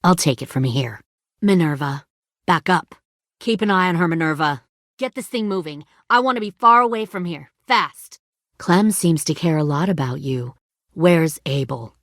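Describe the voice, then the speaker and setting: A hardened female survivor leader from a video game drama, middle-aged and menacing. Her voice sits low with a heavy gravelly creak, captured very dry and close. She talks like someone used to giving orders and making threats. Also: expressive, moderate pace, a bit bright, no room sound. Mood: cold, menacing, then tense, commanding. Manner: cold, threatening, commanding.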